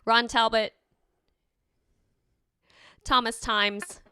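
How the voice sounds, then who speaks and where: A woman's voice: fairly high pitch, flat delivery, drawn-out words, pushed and bright, clear, resonant tone, no echo, clean studio sound. A woman hosting a show, reading out an outro and introducing the cast.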